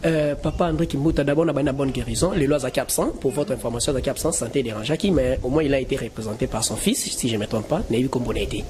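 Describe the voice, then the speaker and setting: A man's voice: mid-range voice, fast talker, hardly any pauses, noisy background. A man from Kinshasa giving emphatic answers in an interview, often firing indignant questions. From Kinshasa, Congo. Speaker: guest. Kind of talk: interview in mixed French and Lingala.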